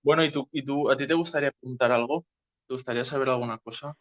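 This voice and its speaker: A man's voice: mid-range voice, fairly even intonation, fast talker, fairly bright tone, no room sound. A man with an accent from Spain, hosting an informal political discussion and explaining things conversationally. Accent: Spanish from Spain. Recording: dull, dry lo-fi voice chat.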